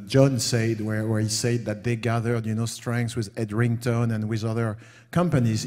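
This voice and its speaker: A middle-aged man from France speaks to a conference audience, pausing often on fillers as he works through his points. His voice sits at an ordinary pitch and rings with the long reverb of a big hall. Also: darkish tone. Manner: hesitant, many "uh" fillers. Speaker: panel speaker, company founder. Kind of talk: conference panel talk.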